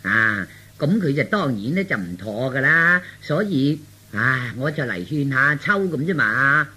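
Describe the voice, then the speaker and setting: A man's voice: mid-range voice, sing-song, unhurried, pushed and bright, husky, exaggerated voice. A man voicing a meddling middle-aged aunt in Cantonese radio storytelling, earnest, insistent and colloquial. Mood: explanatory. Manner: insistent, colloquial, full of rhetorical tags.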